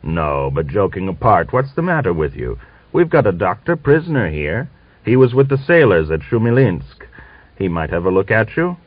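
A man's voice: low voice, big pitch swings, normal speed, no echo. A man voicing a blustering but genial Cossack staff officer in an audiobook, exclaiming and cajoling. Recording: dull lo-fi audiobook recording.

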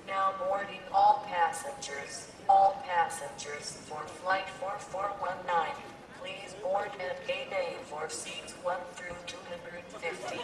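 A woman playing an impersonal airport gate announcer, making formal, deliberate public-address announcements in an audio drama. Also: on the low side. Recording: echoey distant PA, airport crowd.

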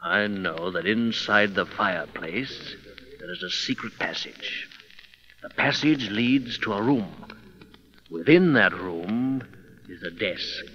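An actor playing a boastful, scheming French ex-criminal turned detective in theatrical 1930s radio style, sprinkling in French exclamations. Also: male voice, on the low side. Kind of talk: 1930s radio drama acting. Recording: dull 1930s radio recording.

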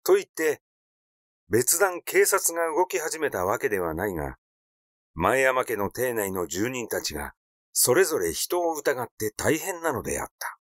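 A man's voice: mid-range voice, sing-song, moderate pace, no echo, studio quality. A man reading a literary mystery story aloud as its narrator. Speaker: audiobook narrator.

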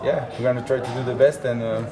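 Young Frenchman, halting accented English, crowd murmur. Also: medium pitch, poor audio. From France. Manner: halting, relaxed, short answers. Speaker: pro skier. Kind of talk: casual chat. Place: lodge room with trophies, indoors.

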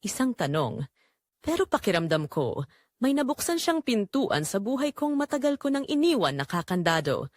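A woman playing Rosa's part, a lonely, neglected wife in her forties, telling her story in a confessional, dramatic audio narration with short pausing phrases. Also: mid-range voice, very animated, no room sound, studio quality, close to an everyday voice. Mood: conflicted, longing. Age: 40s. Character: Rosa, lonely neglected forty-three-year-old wife, wistful and conflicted.

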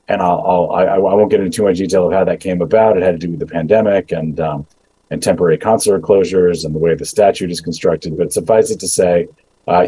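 Middle-aged male official on a webcam call, low husky voice, halting with fillers. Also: mellow, low-quality recording. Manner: measured, many ums and uhs, restarts. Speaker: immigration agency official. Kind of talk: prepared remarks and Q&A answers. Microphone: video call webcam.

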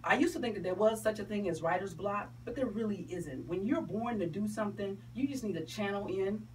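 A Southern US woman gives an emphatic, colloquial interview with an animated delivery and a mid-range pitch. She is recorded from some distance in a small studio, with clear room noise around her. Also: fairly quick. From South Carolina, USA. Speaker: interview guest, playwright and motivational speaker. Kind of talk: radio interview, promotional chat. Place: small radio studio, indoors.

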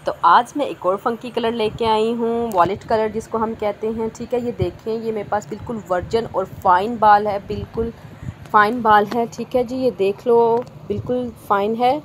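A woman's voice: on the high side, steady tone, moderate pace, a little hiss or hum, low-quality recording. A woman, a hairstylist narrating a casual how-to tutorial in a salon and often saying "theek hai ji". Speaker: hairstylist and channel host. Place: hair salon.

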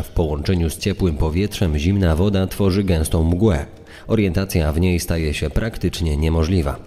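A man with a very deep, somewhat dark voice delivers scripted documentary commentary. Long reverb surrounds him, with music underneath.

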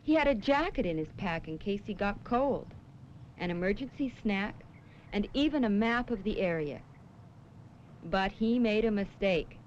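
A woman plays an earnest safety superheroine from a 1970s educational film. She instructs children in a measured, preachy yet reassuring way at a medium pitch. The old soundtrack is dull, with some noise behind her. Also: expressive, darkish tone, lightly acted. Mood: reassuring, cautionary. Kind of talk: scripted 1970s educational film acting and narration.

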